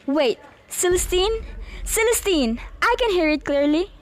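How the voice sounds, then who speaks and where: A woman's voice: very high voice, expressive, a little slow. A woman playing an alert young student passing on urgent messages in an amateur radio drama.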